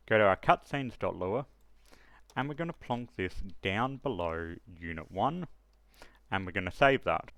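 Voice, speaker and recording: Male tutorial presenter, lowish pitch, informal step-by-step explainer delivery. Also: a bit bright, dry recording. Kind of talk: casual screen-recorded software tutorial narration.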